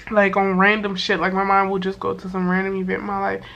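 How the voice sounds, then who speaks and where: Hoarse young woman chatting casually. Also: medium pitch, completely flat delivery, fast, a bit bright, lo-fi sound. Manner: slangy and loose, lots of "like" and swearing. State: hoarse from being sick. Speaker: vlogger. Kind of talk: casual storytime chat to camera.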